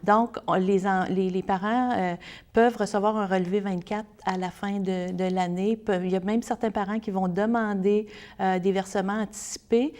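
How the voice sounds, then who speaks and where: A woman's voice: mid-range voice, fairly even intonation, normal speed, darkish tone. A woman with a Québécois French accent giving earnest, explanatory answers as an interview guest speaking for a service.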